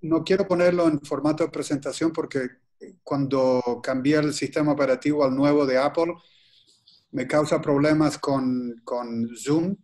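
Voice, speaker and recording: A man from Chile presents in a webinar over a video call, his voice sitting a little higher than most men's. He talks in a halting, deliberate way, filling gaps with "eh" and restarting phrases as he works through his points. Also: fairly even intonation, a little mellow. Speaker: guest presenter.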